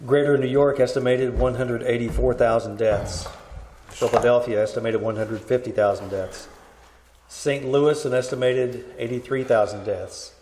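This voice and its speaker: Man acting a grave, mellow radio newscaster, roomy sound. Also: medium pitch, steady tone, slight background noise, close to an everyday voice. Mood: grave, urgent. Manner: formal, measured announcing. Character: grave male radio newscaster reading plague bulletins. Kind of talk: radio news bulletin acting. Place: live staged performance at a winery. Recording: roomy live stage performance.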